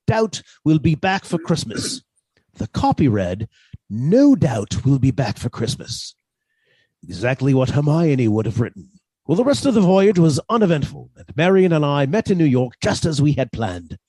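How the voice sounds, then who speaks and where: A man's voice: a little high, big pitch swings, moderate pace, warm tone, slightly rough, dry recording. A man playing a mannerly, henpecked professor who is secretly a scheming killer, with formal old-fashioned phrasing, in a radio suspense play. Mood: brooding narration. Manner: formal, old-fashioned turns of phrase, hesitant when flustered.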